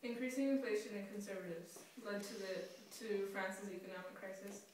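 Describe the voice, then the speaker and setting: A woman's voice: medium pitch, steady tone, fairly quick, close to an everyday voice. Woman playing a statesman in an echoey room. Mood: earnest. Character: French socialist leader, male, reflecting on his government's reforms. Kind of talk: scripted mock interview. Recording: very echoey, noisy, distant mic.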